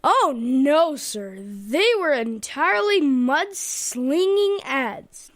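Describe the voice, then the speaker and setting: A dutiful political aide played by a woman in a radio comedy. She speaks slowly and deferentially in a high voice with big rises and falls. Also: lively intonation, no echo, full character voice. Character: dutiful, deferential campaign aide reporting to boss. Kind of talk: comedy radio theater acting.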